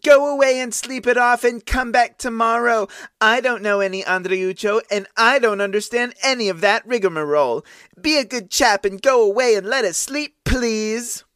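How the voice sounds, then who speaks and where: A man reading aloud puts on a cross, drowsy maidservant leaning out of a window, scolding in a high, raised voice and talking down to the man below. The voice is dry and close, with no room around it. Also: moderate pace, fairly bright tone, dry recording. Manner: scolding, condescending. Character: sleepy, cross woman servant at a window.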